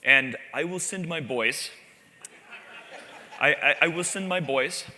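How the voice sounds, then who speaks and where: A man putting on a gruff Russian-accented boss. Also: fairly high pitch, takes it slowly, bright, forceful tone, slight background noise, voice pushed up, full character voice. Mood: menacing, insistent. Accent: Russian, put on badly. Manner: blunt, insistent, broken grammar. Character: gruff male alliance leader with a threatening tone. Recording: long reverb in a large hall.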